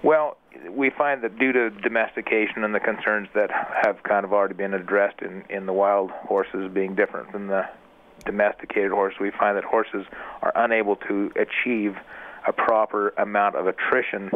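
A man who works as an equine dentist, calmly explaining his work as a guest in a radio interview. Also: on the low side. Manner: measured, methodical, explanatory. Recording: tinny phone line.

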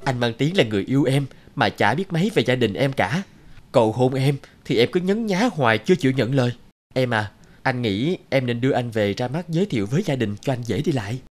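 A man's voice: mid-range voice, animated, fairly quick, lightly acted. Man acting whiny young schemer over music. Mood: sweet wheedling. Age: young adult. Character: scheming, lazy young male office worker chasing money. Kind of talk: cartoon voice acting.

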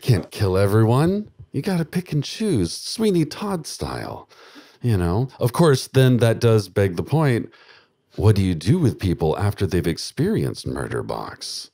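A man's voice: fairly low pitch, a little slow. A middle-aged man delivering a darkly comic, macabre stage monologue in a musing manner into a handheld microphone. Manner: musing, deadpan macabre, chuckling to himself. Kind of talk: dark comedy stage monologue. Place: small dark theatre, live audience. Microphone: handheld microphone close to mouth.

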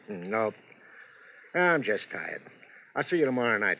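Weary, teasing club coworker, lively intonation, muffled old radio drama sound. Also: male voice, lowish voice, measured pace, vocal fry, exaggerated voice. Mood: wry, weary. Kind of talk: 1950s radio drama acting.

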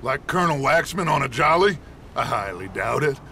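An actor playing a commanding middle-aged outlaw gang leader in a video game, with an American accent and a deliberate, grandiose manner. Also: male voice, mid-range voice, expressive, vocal fry, bright, forceful tone, a little hiss or hum. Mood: assured, scheming. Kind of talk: dramatic video game cutscene acting.

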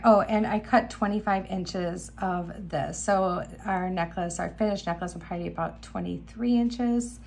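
Chatty woman with a gravelly, froggy voice. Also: medium pitch, a little mellow, a little hiss or hum. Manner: chatty, enthusiastic, talks while working. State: slightly hoarse, clearing throat. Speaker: beading channel host. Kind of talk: casual crafting tutorial. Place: indoor craft table. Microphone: camera above table, hands only.

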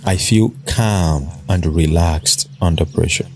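Deep, slow-spoken male meditation guide. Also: a little hiss or hum. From Nigeria. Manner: slow, soothing, measured delivery with pauses.

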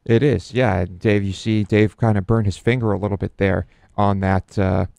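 Young male sidekick, easygoing short replies, low gravelly tone, dry studio sound. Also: fairly even intonation, moderate pace, darkish tone, no echo. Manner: laid-back, brief answers. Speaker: co-host. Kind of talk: casual chat. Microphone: headset with studio mic.

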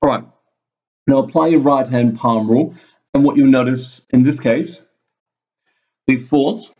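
A man's voice: medium pitch, warm tone. Dull-sounding recording of a man teaching. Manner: thinks aloud, halting step-by-step explaining. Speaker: physics teacher. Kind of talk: informal screen-recorded physics lesson explanation. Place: indoors, screen-recorded voice-over at a computer.

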